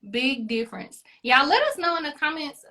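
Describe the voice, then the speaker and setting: High-voiced, chatty woman beauty host. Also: animated, moderate pace, pushed and bright. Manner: chatty, encouraging, playful. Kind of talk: casual chat.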